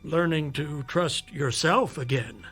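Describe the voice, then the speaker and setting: An actor playing a skeptical, fatherly doctor in a radio drama, speaking in a measured, patronizing way and coaxing with rhetorical questions. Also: fairly high pitch, a bit bright, husky, faint music behind, exaggerated voice. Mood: firm persuasion. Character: male doctor, persuasive, skeptical, fatherly toward his patient.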